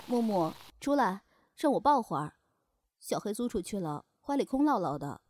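A woman's voice: medium pitch, big pitch swings, moderate pace, darkish tone. A woman playing a shrewd, breezy keeper of a demon inn, teasing and bossy in an offhand way, in an audio drama. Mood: languid, pleased.

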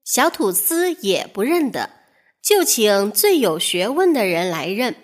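A woman narrating a children's fairy tale in a measured, expressive storytelling manner. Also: medium pitch.

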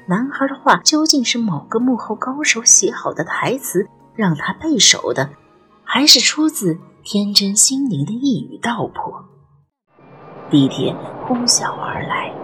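Animated woman narrating an eerie ghost story. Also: medium pitch. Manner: expressive, suspenseful storytelling. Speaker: audiobook narrator. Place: studio recording with music behind.

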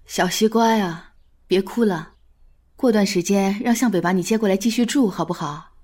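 A woman's voice: mid-range voice, moderate pace, darkish tone, natural voice. Soothing, doting middle-aged grandmother played by a woman. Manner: soothing, sighing. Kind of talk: modern Chinese audio drama acting.

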